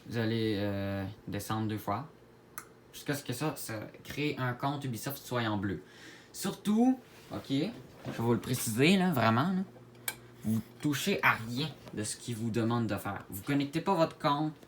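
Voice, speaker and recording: A man with a Québécois French accent giving a casual tutorial to viewers, halting and repeating his words as he goes. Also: mid-range voice, expressive. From Quebec, Canada. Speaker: gaming video creator. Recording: clear background noise, home-made recording.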